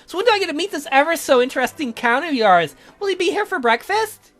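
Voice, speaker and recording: A woman's voice: high-pitched, lively intonation, quick pace, soft background music, pitched above the performer's own voice. A woman voicing a bossy, teasing young female best friend in a visual novel, theatrical and gushing. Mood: teasing, curious. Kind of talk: visual novel read aloud in character.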